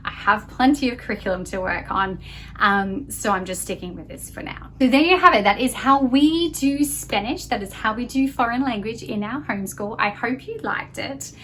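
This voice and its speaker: A woman's voice: on the high side, moderate pace, a bit bright. Casual woman vlogger, noisy room recording. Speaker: homeschooling parent reviewing a curriculum. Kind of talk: casual talk to camera. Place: small home office, indoors. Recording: clear background room noise.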